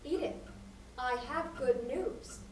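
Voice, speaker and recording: Woman playing cold, prim wife in a radio drama; very high voice, distant and echoey. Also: slow, a little mellow, slight background noise. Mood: prim, subdued. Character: prim, cold, unsmiling wife remembered in confession. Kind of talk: student radio play acting. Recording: echoey, far from mic.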